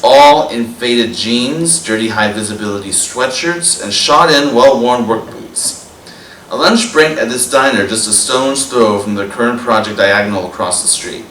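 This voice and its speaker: A young man hosts a talk show with a bright, forceful voice and earnest, announcer-like emphasis. He sits well back from the microphone, so his voice sounds distant and roomy. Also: medium pitch, slight background noise. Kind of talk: talk show hosting and poem reading.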